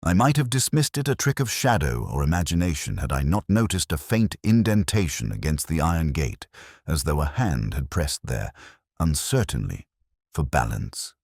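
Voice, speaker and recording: Brooding older detective , played by a man: very deep voice, wide melodic range, reflective first-person drama narration, close dry sound. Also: sing-song, gravelly, a little mellow, husky, dry recording, exaggerated voice. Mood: wistful, reflective. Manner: measured, literary, reflective.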